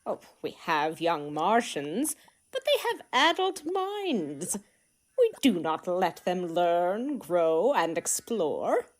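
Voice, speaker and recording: Ancient Martian sage: grave, cryptic, drawn-out delivery with theatrical swoops, comic radio play. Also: female voice, lowish voice, big pitch swings. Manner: slow, dramatic, long pauses.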